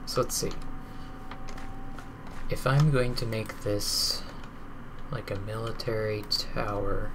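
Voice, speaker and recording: A hoarse young man thinking aloud with hesitation while streaming a game, describing his building plans. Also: on the low side, fairly even intonation, slow, pauses often. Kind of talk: casual gameplay commentary. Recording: loud background hiss and keyboard typing.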